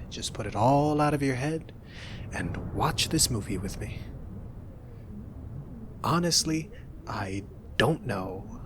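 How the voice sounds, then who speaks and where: Soft-spoken young man in ASMR roleplay. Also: medium pitch, background noise. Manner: soft, teasing, reassuring roommate talk.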